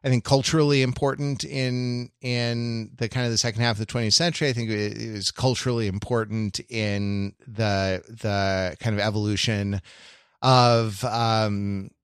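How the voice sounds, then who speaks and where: A man's voice: lowish voice, flat delivery, moderate pace, clear, resonant tone, dry recording, studio quality. A man hosting a casual podcast discussion, searching for his words as he goes, with a playfully mock-grand streak. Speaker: podcast host.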